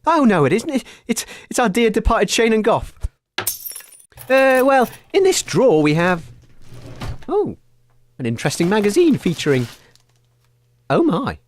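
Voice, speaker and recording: Hesitant um-uh guy hosting silly radio bit, pitch leaps everywhere. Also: big pitch swings, a little slow, long pauses, a little hiss or hum. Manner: hesitant, filler-heavy, easily startled. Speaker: radio show host. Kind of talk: comic radio-show hosting.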